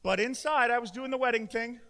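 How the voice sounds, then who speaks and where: A middle-aged man preaching a sermon as pastor, loud and emotional, with self-deprecating humor. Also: very high-pitched, brisk. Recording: long hall reverb.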